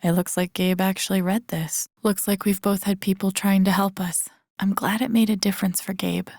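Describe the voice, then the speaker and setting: An actress plays a young American woman as an introspective video game heroine. Her voice sits at a middling pitch with a gravelly fry, recorded very dry and close. She talks to herself in naturalistic, wry murmured asides. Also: flat delivery, normal speed, darkish tone, no echo, natural voice. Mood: wry, pensive. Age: young adult. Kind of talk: naturalistic game inner-monologue acting.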